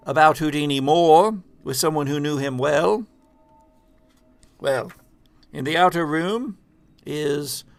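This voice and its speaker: A man playing a spooky, theatrical hologram host in a tabletop game, speaking gravely and portentously with halting pauses. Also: fairly high pitch, no room sound. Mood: solemn, commanding. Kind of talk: old horror-movie monologue in a tabletop game.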